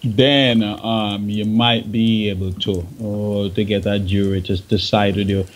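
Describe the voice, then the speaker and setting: A man's voice: fairly low pitch, level tone, measured pace. A man with a Caribbean accent in an informal talk show discussion, repeating words and phrases for emphasis.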